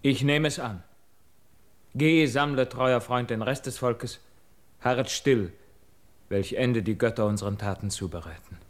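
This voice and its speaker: A man's voice: mid-range voice, measured pace, long pauses, close to an everyday voice. Bold young warrior prince man acting. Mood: proud, resolute. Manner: heroic, declamatory, earnest. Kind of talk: classical stage verse radio drama.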